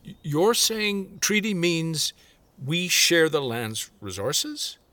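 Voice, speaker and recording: Middle-aged dad landowner played by a man; lively, fumbling, earnest, dry sound. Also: fairly high pitch, slow pace, no room sound, full character voice. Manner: hesitant, backpedalling with "well" and "uh". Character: earnest middle-aged farm landowner father, well-meaning but fumbling. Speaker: landowner father.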